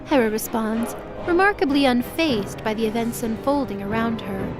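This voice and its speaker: A woman's voice: fairly high pitch, normal speed. A woman reading dramatic scripted narration as the storyteller of an audio drama. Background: music.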